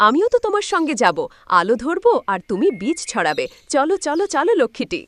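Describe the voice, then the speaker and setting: A woman's voice: sits high, very animated, fast, a bit bright. A woman voicing a bossy, greedy farmer's wife in a cartoon moral story, scolding and insistent. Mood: pushy, eager. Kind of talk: animated moral story voice acting.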